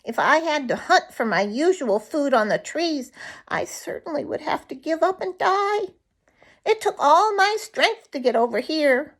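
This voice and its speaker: Storybook nuthatch, played by a woman: famished, grateful little bird, raised pitch, earnest fretful emphasis. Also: expressive, unhurried. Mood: earnest, relieved. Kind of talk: children's storybook character voice.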